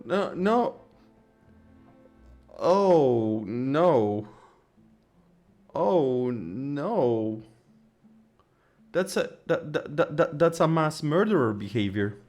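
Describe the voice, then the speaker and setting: A man's voice: fairly high pitch, lively intonation, very slow, pauses often, darkish tone. A man streaming a game, reacting with jokes, stammers and the odd swear. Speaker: gaming streamer. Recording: game music behind.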